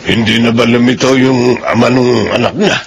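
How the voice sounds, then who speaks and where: Casting: man playing middle-aged Filipino uncle, creaky mid-pitched voice, earnest and decisive, noisy radio drama. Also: steady tone, normal speed, close to an everyday voice. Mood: concerned.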